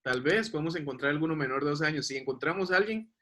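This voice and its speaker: A man's voice: on the high side, expressive, fast talker, sharp tone. A man teaching a class, explaining patiently and checking that the students follow. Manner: patient, checks understanding with questions.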